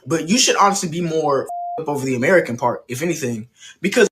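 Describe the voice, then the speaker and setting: A man from the Mississippi Delta in a played social media clip, speaking in a measured, articulate, questioning way. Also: on the high side, lively intonation, a bit bright, slight background noise.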